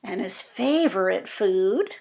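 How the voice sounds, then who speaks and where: A woman's voice: medium pitch, lively intonation, slow, gravelly, darkish tone. A woman reading aloud and voicing a small, clever mouse who tells bold tales in an emphatic, theatrical way. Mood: boastful.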